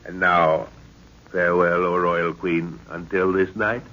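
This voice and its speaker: A man acting a commanding, middle-aged expedition leader in an old-time radio serial, measured and authoritative. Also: low voice, little pitch movement, pushed and bright. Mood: stately, probing. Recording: muffled, noisy old radio recording.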